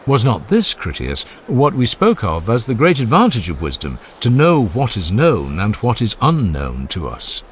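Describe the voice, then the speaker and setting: A man reads a dramatized classical dialogue in a deep voice with very animated intonation. His delivery is formal, measured and emphatic. Faint ambient noise sits behind him. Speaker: audiobook narrator. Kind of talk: dramatized scripted reading of a classical philosophical dialogue.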